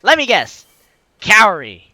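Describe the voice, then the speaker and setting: A man's voice: sits high, wildly swooping pitch, takes it slowly, bright, forceful tone, a little hiss or hum. A man hosting a let's play, expressively reading a story game's text aloud and reacting with enthusiasm. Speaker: gaming video host.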